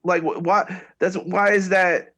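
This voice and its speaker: A man's voice: high voice, fairly even intonation, unhurried, a crowd in the background. A middle-aged man co-hosting a casual debate, pressing his point with questions and frequent restarts. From United States. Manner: questioning, restarts sentences often. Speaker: podcast co-host.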